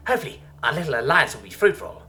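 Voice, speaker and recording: A man voicing a brisk, businesslike female dolphin leader with a formal manner in a story. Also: on the high side, big pitch swings, sharp tone, voice pushed up.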